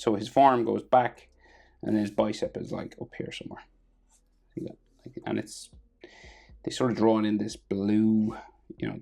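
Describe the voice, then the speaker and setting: An Irish man with a gravelly, husky voice, casually talking through a drawing lesson step by step and trailing off quietly as he works. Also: on the low side. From Ireland. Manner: relaxed, thinking aloud while drawing, trailing off quietly. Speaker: drawing tutor.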